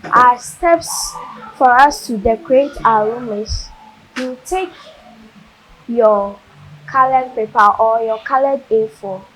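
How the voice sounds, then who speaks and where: A woman's voice: on the high side, slow, a bit bright, rough recording. A young woman from Ghana hosting a how-to segment, talking viewers through steps directly. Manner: instructional, addresses viewers directly. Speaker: segment host. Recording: faint hiss.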